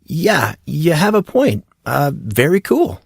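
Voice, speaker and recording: A man's voice: a little high, wildly swooping pitch, slow, gravelly, husky, no echo, clean studio sound, voice pushed up, exaggerated voice. A man voicing a curious, hesitant and wry fourteen-year-old boy in an audiobook. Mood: unconvinced. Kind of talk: audiobook character dialogue.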